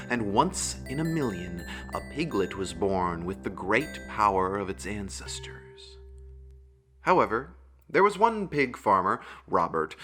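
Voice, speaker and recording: A man narrating a scripted fairy tale for a puppet show in a theatrical storyteller manner. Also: medium pitch.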